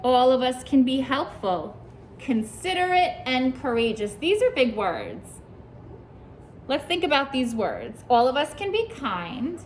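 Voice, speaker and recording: A woman teacher reads a picture book aloud to young children, pausing to ask them questions and praise their answers. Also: high-pitched, sing-song, a little hiss or hum. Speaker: preschool teacher.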